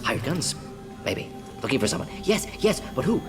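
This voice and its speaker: An actor voicing a brisk, restless alien doctor in a video game, thinking aloud in clipped telegraphic phrases. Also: male voice, mid-range voice, sing-song. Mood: quizzical, rapid. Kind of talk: video game character acting. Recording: long reverb, music behind.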